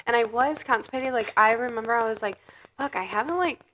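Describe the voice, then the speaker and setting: Young woman, podcast co-host, congested, rambling casual chat, bright tone. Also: on the high side, brisk, slight background noise, low-quality recording. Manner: rambling storytelling, frequent "like". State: congested, stuffy from a cold.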